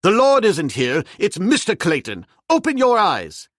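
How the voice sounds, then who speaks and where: A man voicing a young gentleman who calls out urgently and insistently. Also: high-pitched, huge pitch swings, a little slow, dry recording, studio quality, voice pushed up, exaggerated voice.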